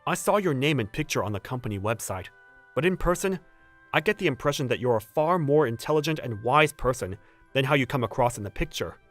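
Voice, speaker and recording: A man acting an earnest, put-upon young office worker who narrates and voices his troubles in a manga dub, with expressive delivery. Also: medium pitch, fairly quick, faint music behind, close to an everyday voice. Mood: puzzled.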